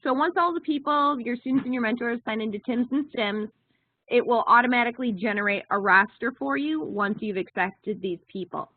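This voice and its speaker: A woman's voice: fairly high pitch, a little fast, dry recording. A woman leads an informal briefing on a conference call. Her voice comes through a thin, narrow telephone line with very little room sound around it.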